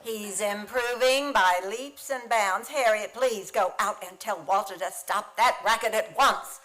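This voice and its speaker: A woman playing a quick-witted, soothing, wry female school teacher in a comic radio play. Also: medium pitch, fairly bright tone, natural voice. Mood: composed.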